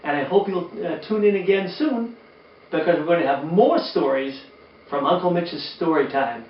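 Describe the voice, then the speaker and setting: An older man hosting a children's storytime, speaking expressively to young listeners with drawn-out emphasis. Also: on the high side. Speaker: children's storytime host and reader, former teacher. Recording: roomy, distant, dull sound with faint noise.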